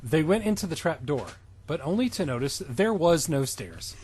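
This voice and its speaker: A man's voice: on the high side, big pitch swings, normal speed, a little mellow, a little hiss or hum. A man hosting a podcast, reading fan fiction in a mock-dramatic, theatrical way with dryly pedantic asides. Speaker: podcast host.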